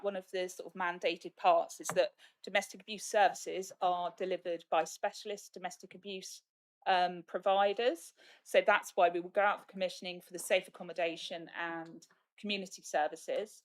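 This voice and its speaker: A woman's voice: lowish voice, steady tone, moderate pace. A woman, a council officer, explaining at a meeting in a plain, matter-of-fact way. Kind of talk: answering questions at a council meeting.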